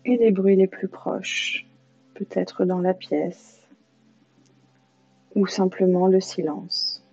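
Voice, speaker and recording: A woman leading a guided yoga nidra meditation as an instructor, calm and soothing, leaving long pauses. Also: on the low side, creaky. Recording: slightly dull lo-fi sound, music behind. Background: music.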